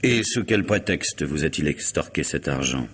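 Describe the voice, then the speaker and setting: An actor playing an urbane, probing lawyer in a vintage French radio drama, speaking in a measured, formal, questioning manner. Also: male voice, very deep voice, animated, a little hiss or hum. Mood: probing, composed. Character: urbane, probing male lawyer and family friend.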